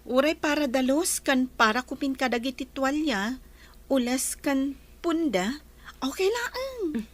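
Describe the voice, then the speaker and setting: Woman playing down-to-earth young trainee, Filipino accent, very high pitch, scolding friend, radio comedy drama. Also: expressive, measured pace. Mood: chatty.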